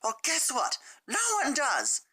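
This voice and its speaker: A woman voicing a brash, aggressive, protective teenage tomboy in a fan story reading, forceful and confrontational. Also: high voice, huge pitch swings, takes it slowly, breathy edge, voice pushed up, full character voice. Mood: furious yelling.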